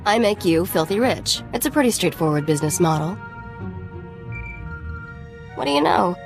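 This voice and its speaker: A woman playing a shrewd, self-assured young smuggler in a video game, cool and wry. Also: fairly low pitch. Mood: teasing confidence.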